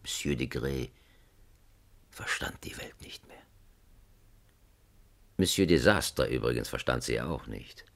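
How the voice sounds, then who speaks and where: An extremely low-pitched male narrator with a gravelly edge. He tells a satirical radio-play tale at a slow, measured pace, dry and wry. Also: expressive, exaggerated voice. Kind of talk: scripted narration in radio drama.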